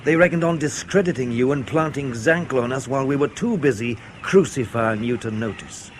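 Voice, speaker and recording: An actor playing a sardonic, menacing intelligence man who needles with colloquial, deadpan understatement in a radio drama. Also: mid-range voice, brisk, a little hiss or hum, natural voice. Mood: matter-of-fact. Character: sardonic, menacing male British intelligence interrogator.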